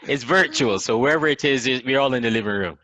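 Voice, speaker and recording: A man with a Barbadian accent, hosting a video chat and telling stories in a measured way. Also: medium pitch, sharp tone. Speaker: host.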